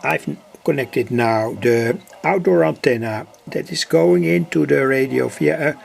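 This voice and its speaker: A man with a Dutch accent and a creaky, gravelly voice, giving a casual, halting, unscripted demonstration as an electronics hobbyist. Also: mid-range voice. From Netherlands. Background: shortwave radio playing stations.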